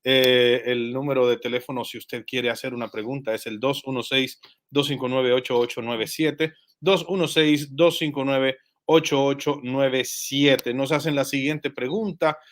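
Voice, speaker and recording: A man's voice: mid-range voice, steady tone, normal speed. Man hosting a live call-in show. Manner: reads out phone number and viewer questions. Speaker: host.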